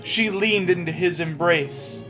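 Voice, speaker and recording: High-pitched, slow-paced man stumbling through a read-aloud. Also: fairly bright tone. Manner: stammering, stumbling over the text. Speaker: co-host reading fan fiction aloud. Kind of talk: mocking amateur read-aloud with jokey asides. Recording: music playing behind the voice. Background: video game music.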